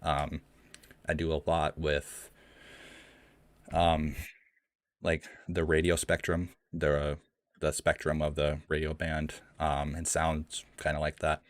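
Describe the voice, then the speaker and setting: A young man with an extremely deep voice talks to his viewers in a rambling livestream Q&A. He says "um" often and restarts his sentences. The sound is dry and close, and his voice trembles slightly in a cold room. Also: completely flat delivery, slow pace, long pauses, no echo. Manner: rambling, many ums and restarts. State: shaky voice from the cold. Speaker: streamer hosting a live Q&A. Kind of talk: casual livestream chat. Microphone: home streaming mic.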